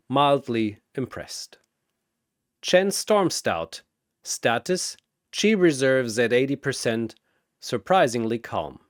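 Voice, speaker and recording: A man's voice: medium pitch, no echo, studio quality. A man reading scripted fantasy battle narration in a dramatic announcer style.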